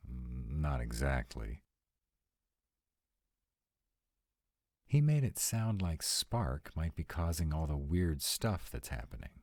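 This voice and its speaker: A man's voice: rumbling low, sing-song, measured pace, a little mellow, dry recording, heavily acted. A man playing a troubled young sarcastic vampire, confiding casually and with some swearing and hesitation in an intimate audio roleplay. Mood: defensive, worried.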